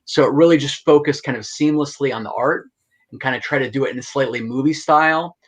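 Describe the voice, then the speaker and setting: A middle-aged man speaks as an interview guest in a medium-pitched voice. He explains things casually and with enthusiasm. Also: fast. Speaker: guest, actor and writer.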